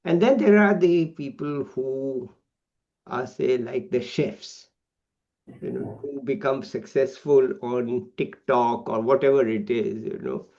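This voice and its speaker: A man's voice: medium pitch, slow pace, darkish tone. A man with an Indian accent interviewing someone in a casual, conversational style. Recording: slightly dull, lo-fi sound.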